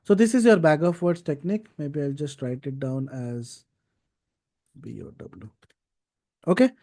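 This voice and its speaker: A man's voice: a little high, lively intonation, measured pace, gravelly, dark tone. A man teaching an informal live coding class, explaining step by step and checking in with short tags like right and okay. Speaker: data science instructor.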